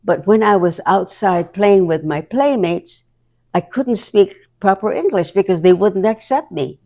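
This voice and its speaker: A woman's voice: mid-range voice, lively intonation, a little slow, mellow. A woman in her nineties with a Hawaiian accent, chatting and reminiscing as an interview guest, laughing often. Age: 90s. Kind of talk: reminiscing conversation.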